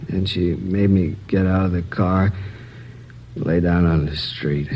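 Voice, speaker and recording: A man's voice: deep voice, steady tone, slow, dull audio, a little hiss or hum. An actor playing a badly hurt male robbery victim lying in a hospital bed, weak and worried, in 1950s radio drama acting on an old recording. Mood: weary.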